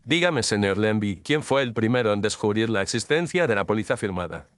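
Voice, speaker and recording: A man's voice: fairly low pitch, animated, a little fast, natural voice. A man playing an ironic, incisive Victorian detective in a scripted audio drama, speaking in sententious lines. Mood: probing.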